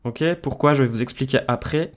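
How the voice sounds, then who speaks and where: A man's voice: mid-range voice, steady tone, fairly quick. A man presenting a music production tutorial, explaining things informally, step by step. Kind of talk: casual screen-share software tutorial.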